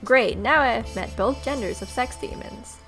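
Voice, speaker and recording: A woman's voice: fairly high pitch, unhurried, rough recording. A young adult woman hosting a let's play, reading visual novel text aloud expressively with casual, sarcastic reactions. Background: game music.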